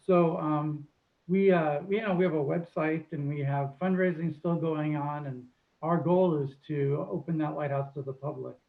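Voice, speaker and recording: A man's voice: a little high, fairly even intonation, normal speed, vocal fry, phone-quality sound. A man giving informal answers as an organization's representative, over thin video call audio. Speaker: society representative answering questions.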